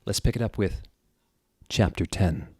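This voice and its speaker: Low-pitched man, dry close sound, theatrical. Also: unhurried, a little mellow, breathy edge, dry recording. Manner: theatrical, wry. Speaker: host reading fan fiction aloud. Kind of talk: dramatic comedic reading with casual asides.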